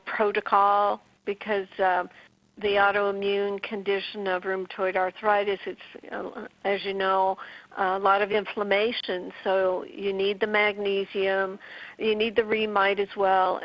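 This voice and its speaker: Flat-voiced woman host on lo-fi radio. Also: medium pitch. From Canada, Newfoundland. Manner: brisk, advising, many restarts. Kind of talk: casual call-in radio advice. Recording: dull lo-fi radio sound.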